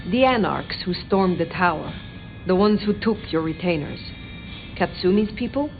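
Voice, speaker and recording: An elder vampire sheriff from a video game, formal and direct. Her voice sits a little low, with deliberate, archaic phrasing and unhurried authority. The audio is a touch dull, with faint music beneath. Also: expressive. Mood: probing. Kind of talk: video game dramatic dialogue.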